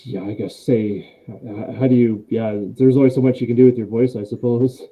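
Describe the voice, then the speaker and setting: A male host in a casual live interview, friendly and quick to laugh, often restarting his sentences. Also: medium pitch, fairly even intonation. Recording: tinny phone-like line.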